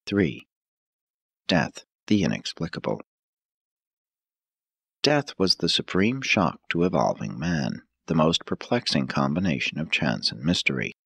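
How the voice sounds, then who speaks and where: A man narrating an audiobook from a script in a measured, formal, solemn manner. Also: rumbling low, big pitch swings, creaky, a bit bright, husky, dry recording.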